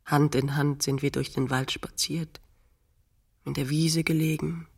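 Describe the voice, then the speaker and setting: Husky, impatient woman. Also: low-pitched, flat delivery, a little slow, darkish tone, full character voice. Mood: wistful. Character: impatient, practical woman urging her weary partner on. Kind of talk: radio play dialogue.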